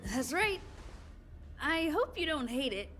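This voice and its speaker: A woman's voice: high-pitched, very animated, slow pace, a bit bright, faint music behind, full character voice. An actress playing a busy, apologetic, kind young woman working on a space station in a video game, speaking earnestly and politely. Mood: earnest, hopeful. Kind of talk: scripted video game dialogue.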